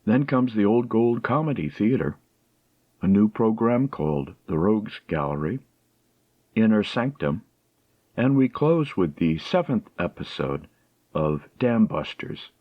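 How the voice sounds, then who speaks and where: Male program host: slow, deliberate scripted intro, slightly low voice, close dull lo-fi sound. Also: very animated, no room sound.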